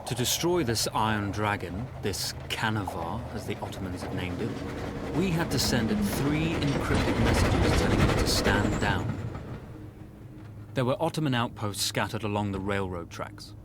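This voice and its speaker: Wry, composed officer. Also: male voice, fairly low pitch, expressive, unhurried, very noisy. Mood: measured narrating. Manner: polite, dry, understated. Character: composed, wry British officer and rebel ally. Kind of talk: cinematic game acting and scripted narration. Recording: music behind. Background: music.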